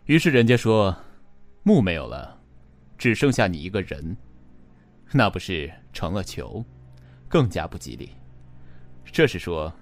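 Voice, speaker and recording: 低沉而神秘的测字先生，带着配乐. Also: male voice, low voice, big pitch swings, soft background music, full character voice. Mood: pointed, insinuating. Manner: measured, cryptic, knowing. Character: calm, enigmatic, shrewd male fortune teller who reads written characters. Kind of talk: radio suspense drama acting.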